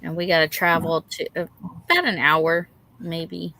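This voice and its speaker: A woman's voice: lowish voice, fairly even intonation, moderate pace, vocal fry, bright, forceful tone, low-quality recording. A middle-aged woman co-hosting a livestream in casual, folksy chat, reading out and greeting viewers. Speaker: livestream co-host.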